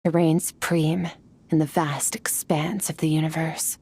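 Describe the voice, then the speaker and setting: An actress playing a solemn, aloof young swordswoman in a video game, with measured, grave, poetic delivery. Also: low-pitched, flat delivery, a little mellow, a little hiss or hum. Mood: grave, brooding. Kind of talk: scripted game voice acting, poetic monologue. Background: background music.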